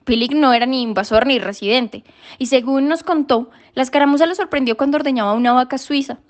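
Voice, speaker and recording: A woman plays a precocious daughter in a radio play, with a very high voice. She reports her findings solemnly, in formal, pedantic phrasing, like an earnest little detective. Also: brisk, close to an everyday voice. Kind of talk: literary radio drama monologue.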